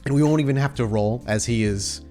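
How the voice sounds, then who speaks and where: A man's voice: medium pitch, a little fast, warm tone, soft background music. A man running a tabletop horror game as storyteller, narrating in a descriptive, cinematic way.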